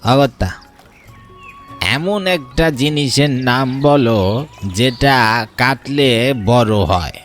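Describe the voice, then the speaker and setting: A man voicing a mysterious, commanding stranger who poses riddles in a cartoon story, speaking in a deliberate, theatrical way. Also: medium pitch. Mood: testing, solemn. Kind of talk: Bengali cartoon story dialogue. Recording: music behind the voice.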